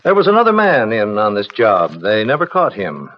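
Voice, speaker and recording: A man playing a brisk, businesslike parole officer in a clipped, official manner, in the style of 1950s radio crime drama. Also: lowish voice, huge pitch swings, slight background noise. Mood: firm, businesslike. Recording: dull old radio broadcast recording.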